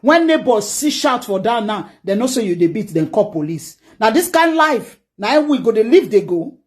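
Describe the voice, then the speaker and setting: Nigerian-accented parent in a phone clip, middle pitch, lamenting and exasperated. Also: big pitch swings, moderate pace. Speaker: parent living abroad. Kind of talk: social media clip monologue.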